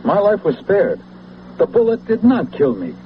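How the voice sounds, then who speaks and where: An actor playing a zealous, scheming political leader and veteran, speaking in a grandiose, persuasive oratorical way in a vintage radio drama. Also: male voice, sits high, huge pitch swings, normal speed. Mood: fervent. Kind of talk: 1970s radio drama acting. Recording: muffled, noisy old radio broadcast.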